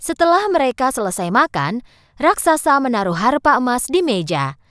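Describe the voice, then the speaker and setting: High, lively Indonesian woman storyteller. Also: moderate pace, no room sound. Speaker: storyteller, narrator. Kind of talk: scripted children's story narration.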